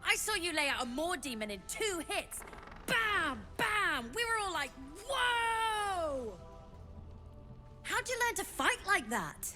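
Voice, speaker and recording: A woman voicing an eager, cheeky tiefling child shopkeeper in a video game, excitable and full of sales patter. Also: very high-pitched, slow pace, soft background music, exaggerated voice. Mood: starstruck, excited.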